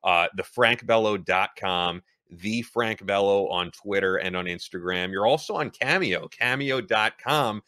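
Bright, crisp-voiced podcast host. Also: male voice, lowish voice, a little slow, few pauses, no room sound. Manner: promotional, plugging links and dates. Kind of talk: interview hosting.

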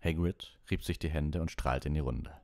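A man's voice: a bass voice, moderate pace, gravelly, darkish tone, no room sound. A man reading an audiobook as scripted storybook narration, close to the microphone. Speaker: audiobook reader.

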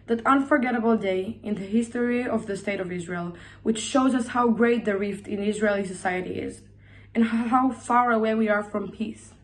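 A teenage female high school student giving a prepared personal reflection, recorded in a bedroom on a camera. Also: normal speed. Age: teens. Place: indoors in a bedroom.

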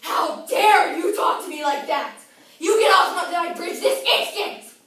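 Mean, hungry old troll played by a woman, very high-pitched, bossy bellowing, distant in an echoey room. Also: animated, normal speed, a bit bright. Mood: furious, threatening. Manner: rude, bossy, hollering. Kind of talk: children's fairy-tale play. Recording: echoey room, far from mic.

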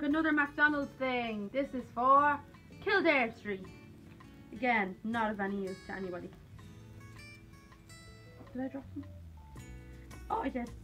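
Bright-voiced young Irish woman chatting. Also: fairly high pitch. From Ireland. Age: young adult. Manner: self-deprecating, rambling asides. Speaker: vlogger. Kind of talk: casual chat to camera. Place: small cluttered room at home, indoors.

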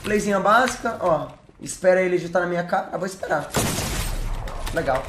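A young man with a Brazilian Portuguese accent streaming a game from his bedroom on a headset, joking with chat and breaking into shouted mock-English boasts. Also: sits high, brisk, echoey room, noisy background. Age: young adult. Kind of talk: live gameplay commentary. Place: bedroom with gaming chair.

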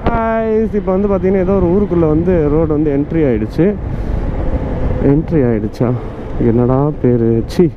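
Chatty young Tamil moto-vlogger. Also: male voice, fairly high pitch, moderate pace. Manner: chatty, playful, talks to viewers as guys. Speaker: motovlogger. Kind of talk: casual chat while riding. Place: on a motorcycle on open roads outdoors. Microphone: helmet mic with the visor open. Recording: muffled with loud wind and traffic noise. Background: wind, engine and traffic.

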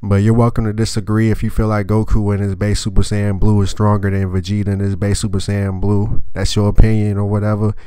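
A man's voice: fairly low pitch, monotone, brisk, few pauses, warm tone, smooth, no room sound, clean studio sound. Congested young man ranting bluntly. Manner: blunt, profane, rambling with filler words. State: congested, nasally from a cold. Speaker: anime review channel host. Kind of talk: casual vulgar rant to camera.

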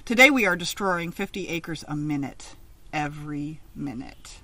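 A middle-aged woman reads a children's picture book aloud outdoors, with expressive, emphatic, sing-song phrasing. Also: low voice, moderate pace. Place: outdoors in a leafy garden.

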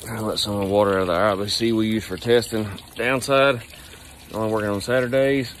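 A man talks into a phone held at arm's length, outdoors by a brick building. His voice sits a bit low, and his delivery is relaxed and rambling, full of fillers. Faint outdoor sounds and the odd bird call sit behind him. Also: brisk, slight background noise. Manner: easygoing, rambling talk with fillers. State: sore back from heavy lifting. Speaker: plumbing company owner. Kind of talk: casual selfie vlog.

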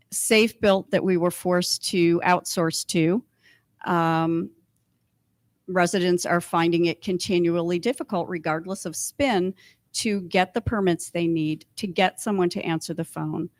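Firm, lowish-voiced woman candidate. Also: no room sound. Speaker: mayoral candidate. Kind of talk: answering a question at a public candidate forum.

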